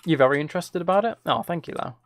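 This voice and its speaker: A man's voice: a little high, expressive, rapid-fire. A man chatting casually as he streams a game, rambling along in a self-deprecating way. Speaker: live streamer. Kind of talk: casual gaming livestream chat, commentary.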